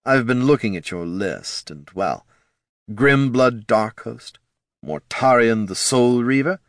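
A man voicing a weary, unimpressed undead lich wizard in a fantasy audiobook, hollow and measured. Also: medium pitch, lively intonation, no room sound, clean studio sound, voice pushed up. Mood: politely dry.

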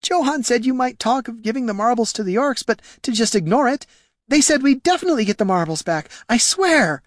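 A man voicing a naive young noblewoman in an audiobook, fretful and highly emotional. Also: lively intonation, slow pace, no echo, studio quality, exaggerated voice. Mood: tearful pleading. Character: naive, panicky young noblewoman, tearful and indignant. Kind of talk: audiobook character dialogue.

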